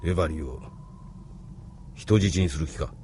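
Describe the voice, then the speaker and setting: An actor playing a dignified older man, grave and measured, in a Japanese audio drama. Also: extremely low pitch, warm tone, slight background noise. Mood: stern, protesting. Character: dignified old man, retired activist, stern and weary.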